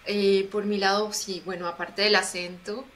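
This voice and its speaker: A woman speaking as a podcast guest, explaining her points in a reflective, measured way. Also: medium pitch, flat delivery, fairly bright tone, a little hiss or hum. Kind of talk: casual podcast chat.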